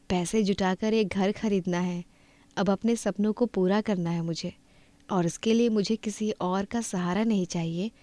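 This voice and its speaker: A woman's voice: mid-range voice, warm tone, no room sound, close to an everyday voice. A woman voicing a polite, determined young orphan woman in a cartoon moral story. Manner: earnest, polite.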